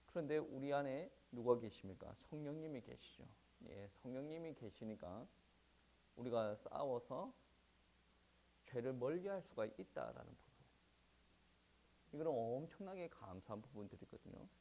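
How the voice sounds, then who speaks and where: Man, Gyeongsang Korean accent, pastor preaching, animated rising and falling pitch, rhetorical questions, "eo" fillers. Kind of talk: sermon. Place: church service.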